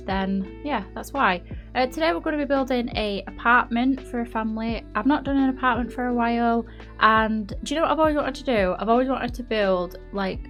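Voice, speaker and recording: A woman's voice: medium pitch, a bit bright. A woman from the UK, narrating a game build in a rambling, unsure way, her voice slightly nasal from a blocked nose. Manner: rambling, self-doubting, lots of fillers. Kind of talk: casual chatty voice-over. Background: faint background music.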